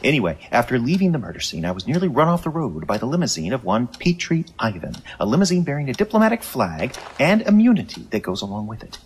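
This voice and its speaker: A man's voice: medium pitch, very animated, fast, few pauses, a little hiss or hum, close to an everyday voice. A man playing a stubborn, wisecracking reporter who hunts monsters in an audio drama, with a cheeky, wheedling manner. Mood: cheeky, persuasive. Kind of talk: audio drama acting, with first-person noir narration.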